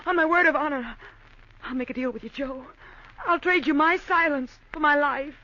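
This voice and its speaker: Frightened young heroine for vintage radio crime melodrama: very high, bright, earnest and emotional, on a dull old recording. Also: female voice, moderate pace. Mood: pleading, afraid. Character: frightened young woman entangled with a gunman.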